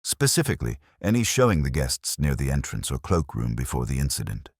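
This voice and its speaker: Mild, unflappable detective inspector, read by a male narrator, very deep, polite, dry wit, mystery audiobook. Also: big pitch swings, brisk, no echo, full character voice. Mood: mild, diplomatic. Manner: mild, polite, dryly understated. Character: calm, courteous, diplomatic male police inspector.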